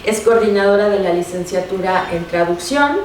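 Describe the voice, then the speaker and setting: A woman, an academic host introducing a guest speaker in a halting way, with many fillers and pauses. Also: mid-range voice, lively intonation. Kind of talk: formal introduction. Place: university lecture hall. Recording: echoey hall, distant mic, background noise.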